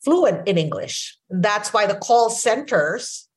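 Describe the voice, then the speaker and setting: A woman in her sixties with a Filipino accent, whose first language is Filipino, hosting a podcast and telling her story in a reflective, unhurried way. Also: medium pitch, expressive. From Philippines. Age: 60s. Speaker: podcast host. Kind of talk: casual conversation.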